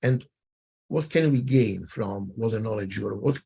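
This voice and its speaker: Guy hosting a webinar, gravelly husky voice, lots of uh and um, stops and restarts. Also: lowish voice, normal speed, mellow. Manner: hesitant, many fillers and restarts. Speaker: webinar moderator. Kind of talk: webinar presentation.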